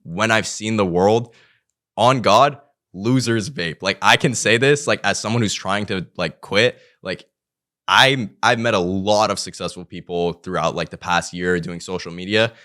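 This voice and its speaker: A man's voice: on the low side, unhurried, sharp tone. A young man, a guest on a podcast, chatting casually and rambling with lots of fillers. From Iran. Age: young adult.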